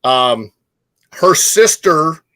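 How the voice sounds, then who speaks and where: A man co-hosting a casual chat show, speaking informally in a halting but emphatic way. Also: fairly high pitch, sing-song, sharp tone. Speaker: show co-host.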